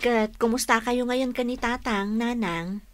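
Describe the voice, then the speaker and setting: A woman's voice: a little high, fairly even intonation, a little slow, slight background noise, lightly acted. An actress with an Ilocano accent playing a bossy, caring wife and mother in a radio comedy drama. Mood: kind, attentive. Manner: bossy, nagging, practical.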